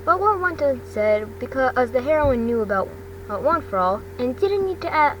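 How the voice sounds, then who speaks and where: A woman's voice: sits high, big pitch swings, moderate pace. A woman reading a story aloud as an amateur narrator, stumbling and repeating phrases as she goes. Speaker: fan fiction narrator. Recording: distant mic, noisy background hum.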